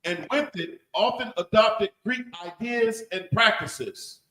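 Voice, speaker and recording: A man's voice: sits high, lively intonation, slow pace, sharp tone. A man reading a definition aloud from a reference book in class. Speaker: student.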